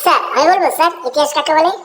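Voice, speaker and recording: A man voicing an eager schoolboy who rushes to answer the teacher. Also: sharp tone, reverberant, soft background music. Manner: shrill, very fast, eager.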